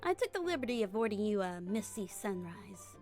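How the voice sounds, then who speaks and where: A woman's voice: medium pitch, very animated, brisk, a little mellow. Confident, breezy young mercenary played by a woman. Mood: friendly, relaxed. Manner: breezy, persuasive, informal. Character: confident, easygoing young female desert mercenary. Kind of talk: fan-dub game character dialogue. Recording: music behind the voice.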